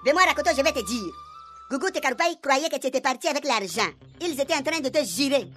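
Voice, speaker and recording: A friendly, scheming man, as a woman acts him out, with a Réunion Creole accent and quick, hesitant delivery full of fillers. His voice sits fairly high and rushes along in a radio comedy serial. Faint music runs behind. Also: expressive, a bit bright. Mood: gossiping. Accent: Réunion Creole French.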